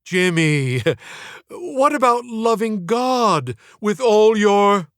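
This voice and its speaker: A man's voice: high voice, very animated, extremely slow, fairly bright tone, dry recording, clean studio sound, pitched above the performer's own voice, full character voice. Man putting on an exasperated, emphatic female Sunday school teacher. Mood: pleading, patient.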